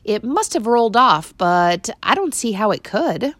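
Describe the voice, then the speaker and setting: A woman voicing an eager, curious young boy who speaks in a hushed, often whispering voice, in a storybook reading. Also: on the high side, big pitch swings, a little slow, no room sound, pitched above the performer's own voice. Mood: puzzled.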